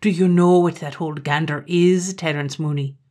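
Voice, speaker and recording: A woman's voice: sits low, fairly even intonation, normal speed, darkish tone, natural voice. A woman voicing a knowing, bossy Irish village wise man, emphatic and pompous, in a storybook tale. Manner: emphatic, pompous, mangled big words.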